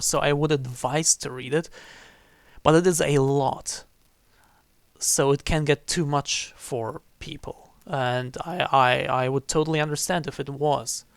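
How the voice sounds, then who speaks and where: A man's voice: mid-range voice, fairly even intonation, measured pace, clear, resonant tone, no room sound. A man giving casual livestream commentary on a game, rephrasing himself as he goes. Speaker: game streamer. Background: faint game music.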